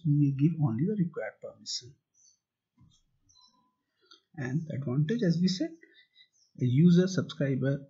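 A man walks through a tutorial step by step, posing questions and then answering them himself. His voice is husky and dark, and the recording sounds dull and muffled. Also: a little high. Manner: plain step-by-step explaining, asks and answers own questions. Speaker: tutorial instructor. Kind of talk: explaining slides and screen demo. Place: voice-over.

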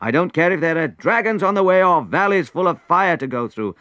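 A man voicing a determined, worried prince and father, forceful then pleading, in a storybook reading. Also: on the low side, unhurried, no room sound, lightly acted. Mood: defiant.

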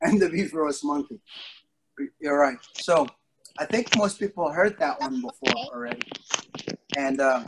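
A middle-aged man teaching a young kids' class over a video call, telling stories playfully with exaggerated surprise and drawn-out words. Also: a little high, animated. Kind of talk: playful interactive storytelling to young children. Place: indoor studio, on a video call. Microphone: device mic at a short distance on a video call. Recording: video call with background noise and clicks.

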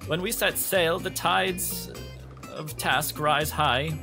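A man's voice: on the high side, slow pace, vocal fry, over music, voice pushed up. A man voicing a flustered, deferential ship's assistant in a tabletop game, speaking in a formal, apologetic manner.

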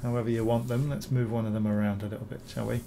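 A man, hoarse from recovering from illness, talking through a screen-recorded software tutorial, rambling with many ums and repeated words. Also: mid-range voice, little pitch movement, fast talker, noisy background. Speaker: bas-relief sculptor reviewing software.

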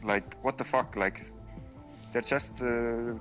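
A man from Estonia with an Estonian accent, chatting casually in a second language, halting and searching for words. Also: medium pitch, fairly even intonation, fairly bright tone, faint music behind, rough recording. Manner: halting, many ums and uhs, searching for words. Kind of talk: casual voice chat.

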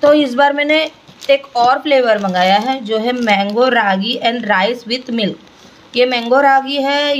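A young woman talks casually to her viewers from her home kitchen, chatty and relaxed as she walks through her errands and cooking. Her voice sits a little high. A faint hiss of room noise runs underneath. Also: reverberant, far from the mic, low-quality recording. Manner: chatty, talks to her baby in a sing-song coaxing way. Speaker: family vlogger. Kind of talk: casual home vlog chat.

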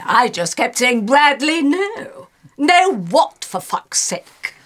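A fretful radio soap actress. The voice is high and very lively in pitch, with a theatrical delivery suited to comedy. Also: female voice, takes it slowly, full character voice. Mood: grumbling. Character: fretful actress reading a soap's family role. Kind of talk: radio drama acting in screen comedy. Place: small radio recording studio.

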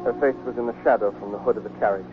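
A wistful soldier in love, played by a male actor, telling his story in a 1940s radio play. He is tender, with a mid-range voice. The old broadcast is tinny, with music clearly behind it. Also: mid-range voice, steady tone, racing, close to an everyday voice. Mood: wistful narration. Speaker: actor and narrator in a radio drama.

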